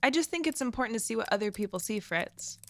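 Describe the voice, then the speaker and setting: A woman's voice: on the high side, expressive, quick pace, fairly bright tone, dry recording. A woman playing a wistful, uneasy young woman who lives off-grid, speaking tentatively and thoughtfully in an audio drama. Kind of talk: naturalistic audio drama dialogue.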